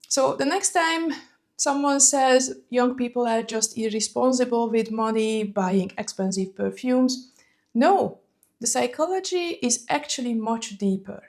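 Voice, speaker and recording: Chatty Hungarian-accented woman, higher voice. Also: darkish tone. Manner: chatty, conversational, frequent "you know" asides. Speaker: fragrance vlogger. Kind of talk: casual vlog storytelling.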